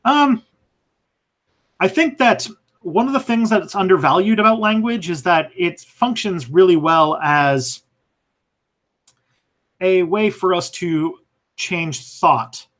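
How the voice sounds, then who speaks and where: A man's voice: sits high, slow, pauses often, a bit bright. A man hosting a live stream and answering viewer questions in a thoughtful, hesitant way, with many fillers and restarts. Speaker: history channel host answering viewer questions.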